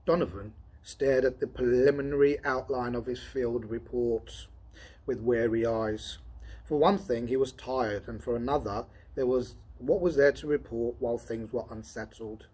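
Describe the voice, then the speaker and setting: A man's voice: medium pitch, fairly even intonation, moderate pace, darkish tone, husky, rough recording. A man hosting a storytime, introducing and narrating a science fiction story aloud. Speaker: storytime host and reader. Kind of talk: storybook reading with spoken introduction.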